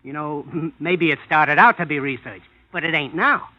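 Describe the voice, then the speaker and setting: A man's voice: a little high, normal speed, natural voice. A man with an American accent playing a blunt, exasperated showbiz agent in an old-time radio drama, slangy and complaining. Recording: muffled vintage radio recording.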